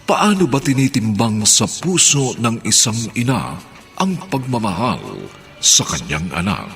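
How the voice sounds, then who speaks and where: A man's voice: mid-range voice, creaky. Theatrical Filipino man announcing over music. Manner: solemn, theatrical, drawn-out delivery. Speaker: radio drama announcer. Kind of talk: dramatic scripted radio narration.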